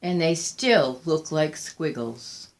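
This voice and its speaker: A woman's voice: low-pitched, expressive, takes it slowly, voice pushed down, full character voice. A woman voicing a very old, weary but determined woman from a picture book, in short plain phrases. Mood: weary, sad.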